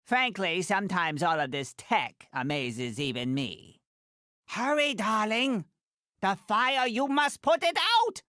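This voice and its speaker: Game role: haughty little middle-aged woman designer, theatrical, wildly animated pitch, sharp bright tone. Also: slow, dry recording, studio quality, full character voice. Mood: haughty, proud, then urgent, alarmed. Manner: haughty, dramatic, clipped delivery with affectionate condescension. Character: tiny, imperious, theatrical middle-aged female fashion designer for superheroes. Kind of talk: video game character voice lines.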